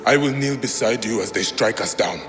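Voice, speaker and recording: A man's voice: medium pitch, fairly quick, music in the background, close to an everyday voice. A man playing a resolute protector of ancient Egypt, earnest and terse, in a video game cutscene.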